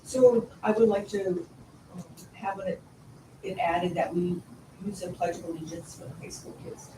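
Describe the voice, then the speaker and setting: A woman's voice: on the low side, normal speed, mellow. A woman school board member raising questions and proposals informally at a public meeting. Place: indoor meeting room. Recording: echoey room, distant mic, faint noise.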